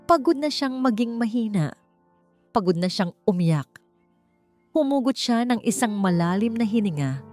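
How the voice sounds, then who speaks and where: Woman, Filipino accent, dramatic story narration, lively intonation, faint music underneath. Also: on the high side, normal speed, pauses often. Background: background music.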